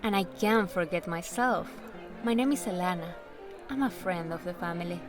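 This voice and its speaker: A woman's voice: fairly low pitch, normal speed, close to an everyday voice. A woman playing a gracious family friend at a party, polite and charming. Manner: polite, gracious, slips into Spanish words. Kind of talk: fan audio drama acting. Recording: music bed under the dialogue.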